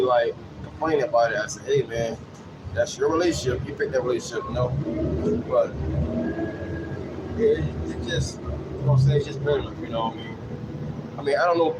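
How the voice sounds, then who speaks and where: Guy late 30s just rambling on, repeats himself a lot, chatty, on a bus w the engine and air noise going. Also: mid-range voice. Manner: repetitive, peppered with "you know what I'm saying". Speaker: vlogger. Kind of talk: casual rambling monologue with anecdotes. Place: on a city bus. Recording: clear vehicle and air noise.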